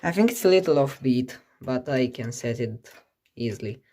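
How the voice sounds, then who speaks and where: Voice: mid-range voice, lively intonation, moderate pace, mellow. A person giving a casual hands-on demonstration as a collector, thinking aloud in a musing, hesitant way. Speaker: clock collector presenting a clock. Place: indoors beside a ticking clock.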